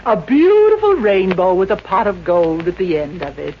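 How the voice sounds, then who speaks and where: A woman's voice: medium pitch, expressive, normal speed, close to an everyday voice. A woman playing a flighty, scatterbrained landlady in a 1950s radio comedy, flustered and full of exclamations. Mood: tipsy, giddy. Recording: dull, hissy old radio recording.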